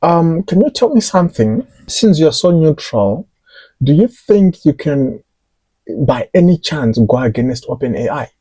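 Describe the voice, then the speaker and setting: Mellow, husky male podcast host. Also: a little high, sing-song, a little slow, dry recording, low-quality recording. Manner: hesitant, with fillers and repeated words. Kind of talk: casual interview questions.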